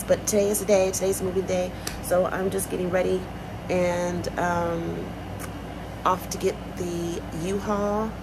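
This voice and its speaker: A woman's voice: fairly low pitch, noisy background. A woman, chatting casually to her vlog viewers and calling them y'all. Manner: chatty, addresses viewers as y'all, many fillers. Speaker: vlogger. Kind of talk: casual vlog chat to camera. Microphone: phone.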